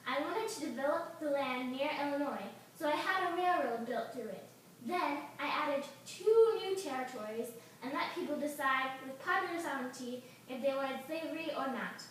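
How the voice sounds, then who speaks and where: A woman's voice: high-pitched, a bit bright. A young woman acting out an amateur puppet show as a student. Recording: echoey room, distant mic, faint noise.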